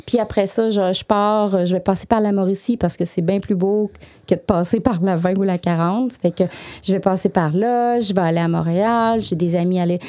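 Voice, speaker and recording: A middle-aged woman from Lac-Saint-Jean with a Quebec French accent, chatting as an interview guest about her work and travel plans, animated and full of fillers. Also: mid-range voice, a little fast. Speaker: guest, essential oils consultant. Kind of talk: casual conversational interview.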